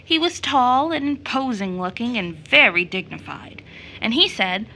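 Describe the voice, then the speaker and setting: A woman's voice: fairly high pitch, sing-song, measured pace, sharp tone, slight background noise, exaggerated voice. A woman acting as a storyteller in an audio drama, narrating a children's fairy tale expressively. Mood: storytelling.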